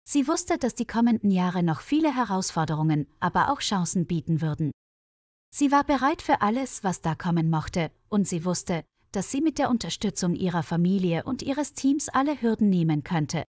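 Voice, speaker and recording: A woman narrates a story for learners in a medium-pitched voice. She reads from a script in a measured, clearly phrased way. The recording is dry, with hardly any room sound. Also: big pitch swings, a little mellow, no room sound. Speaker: narrator of graded German stories for learners.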